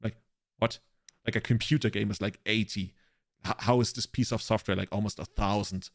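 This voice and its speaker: Low-voiced German man telling stories. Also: steady tone, fairly quick, no room sound, studio quality. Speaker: podcast co-host. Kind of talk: casual chat, rambling anecdotes.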